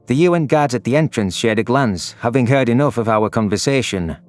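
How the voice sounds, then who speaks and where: Male narrator, medium pitch, scripted dramatic sci-fi storytelling with music underneath. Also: lively intonation, a little fast, dry recording. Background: background music.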